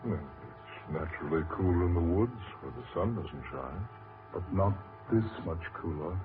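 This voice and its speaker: An actor playing an uneasy gentleman explorer who senses evil, with a hesitant, formal manner, in 1940s radio horror style. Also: deep voice, animated, moderate pace, music in the background. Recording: muffled, very noisy 1940s radio recording.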